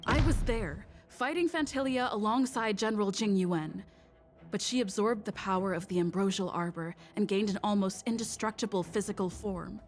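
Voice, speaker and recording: Woman voicing young traveler girl in a game, earnest n careful, mid pitch, music behind. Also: normal speed, lightly acted. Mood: grave. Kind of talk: dubbed video game dialogue acting.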